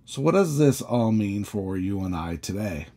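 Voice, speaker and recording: A man, a priest, giving a measured sermon full of questions, each sentence opening higher and settling low. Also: animated, warm tone. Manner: measured, questioning preaching with rising openings and falling endings.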